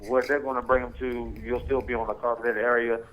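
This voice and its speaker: A man's voice: mid-range voice, flat delivery, brisk, highs cut off, a little hiss or hum. A man working in customer service on the phone, polite and accommodating, repeating himself as he explains. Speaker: restaurant employee taking a phone call.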